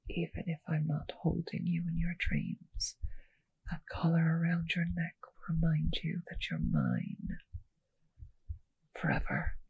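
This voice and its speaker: A woman voicing a seductive, possessive and doting vampire noblewoman in an intimate ASMR roleplay, speaking in tender, teasing, breathy whispers. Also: low voice, very animated, measured pace, no echo, highs cut off, full character voice. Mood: possessive, loving.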